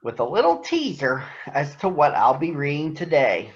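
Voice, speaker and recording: A man addressing children as a picture book reader, speaking in deliberate phrases, in a read-aloud storytelling style. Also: on the high side, wildly swooping pitch, dull audio.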